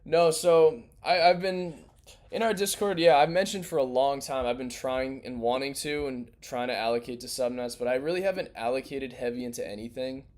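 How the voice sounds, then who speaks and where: A young man hosting a crypto livestream in loose, rambling, slangy casual chat, his voice worn and going hoarse. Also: medium pitch, fairly even intonation, normal speed, darkish tone. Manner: loose, rambling, slangy, restarts mid-thought. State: losing his voice.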